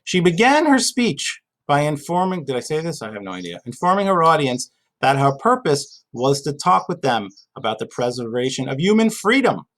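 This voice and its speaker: A man's voice: a little high, big pitch swings, moderate pace, a bit bright. A man giving an informal lesson as a teacher, conversational, asking and answering his own questions and repeating key phrases. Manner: conversational, asks and answers own questions, emphatic repetition, casual asides. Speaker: GED reading teacher. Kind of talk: informal spoken lesson, explaining history and reading a passage aloud.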